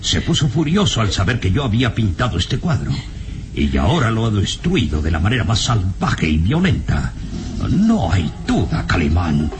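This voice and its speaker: An actor playing an elderly, earnest and worried professor in a radio serial, speaking haltingly and solemnly. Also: male voice, on the low side, huge pitch swings. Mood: accusing, insistent. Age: older. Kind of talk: radionovela acting. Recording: noisy old radio serial recording.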